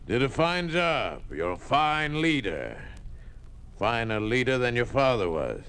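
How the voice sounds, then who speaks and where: An actor playing a smug, menacing communist official in a 1950s radio drama, condescending and sardonic. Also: male voice, medium pitch, big pitch swings, measured pace, fairly bright tone, clean tone. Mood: smug, mocking. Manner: condescending, sardonic, coolly threatening.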